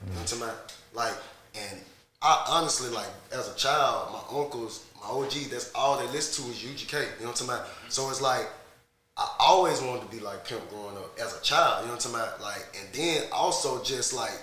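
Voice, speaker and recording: A man's voice: mid-range voice, fast talker, sharp tone. A young man, a rapper, talking casually and laid-back in an interview, often checking in with "you know". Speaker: rapper guest. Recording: roomy echoey room.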